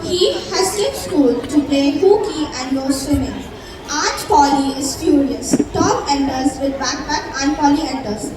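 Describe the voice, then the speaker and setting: A woman's voice: high-pitched, lively intonation, unhurried. A young girl giving scripted narration as the narrator of a school play. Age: child or young teen. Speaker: stage narrator. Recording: echoey hall from far off, loud crowd.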